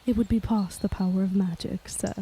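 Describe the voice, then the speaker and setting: A woman's voice: mid-range voice, fairly even intonation, brisk, dark tone, slight background noise, natural voice. A young woman playing an earnest, devoted governess in a gothic romance audio drama, with polite, restrained period speech. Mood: grateful, devoted. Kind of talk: audio drama acting with first-person narration.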